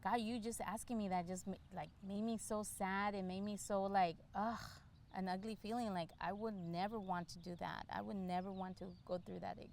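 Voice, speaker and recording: A woman's voice: mid-range voice, fairly even intonation, moderate pace, gravelly, darkish tone. Middle-aged woman thinking aloud, background noise. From El Salvador. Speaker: interview guest, law enforcement candidate for office. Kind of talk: casual, candid interview answers.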